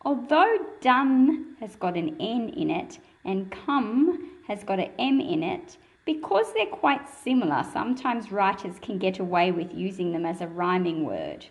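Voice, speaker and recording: A woman's voice: medium pitch, sing-song, a little slow, few pauses. A woman reading a picture book aloud to young children and explaining it in simple words, in a patient, teacherly manner.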